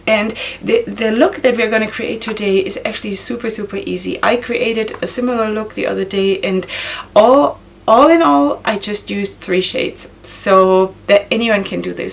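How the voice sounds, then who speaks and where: Woman, 30s, beauty vlogger; raspy and gravelly, hoarse from a cold, chatty tutorial delivery, close to the camera in a small room. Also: medium pitch, a little hiss or hum. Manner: chatty, self-questioning, laughs often. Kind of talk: casual makeup tutorial talk.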